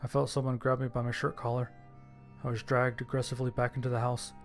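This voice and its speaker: A man reading scripted first-person horror fiction as its narrator, with a gravelly voice. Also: mid-range voice, flat delivery, fast, soft background music.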